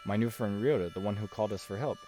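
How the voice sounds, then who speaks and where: A man's voice: fairly low pitch, fast talker, mellow, faint music behind, natural voice. A man voicing a weary, irritable but protective detective in a visual novel read-aloud. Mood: defensive.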